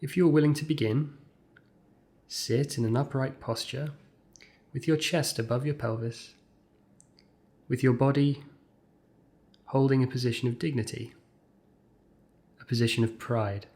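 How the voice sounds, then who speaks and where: A man's voice: medium pitch, steady tone. Man guiding a mindfulness meditation. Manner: measured, gentle pauses between short phrases. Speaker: mindfulness exercise guide. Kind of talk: guided meditation, scripted instruction.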